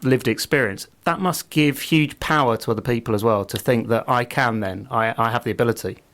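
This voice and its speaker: A man's voice: mid-range voice, fairly quick, a bit bright. A man hosting a radio show, rambling and jokey as he chats with a guest. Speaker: radio host. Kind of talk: radio interview. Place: radio studio.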